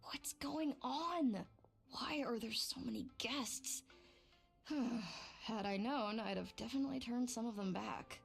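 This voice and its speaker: A woman's voice: on the high side, slow, creaky, soft background music. A woman playing a harried host in a video game, busy receiving guests. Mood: weary.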